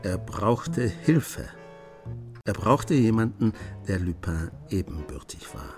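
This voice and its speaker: Writer guy narrating a radio crime play, lowish voice, measured, guitar behind. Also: big pitch swings, darkish tone, full character voice. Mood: suspenseful storytelling. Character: male writer narrating a master thief's adventures. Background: guitar music.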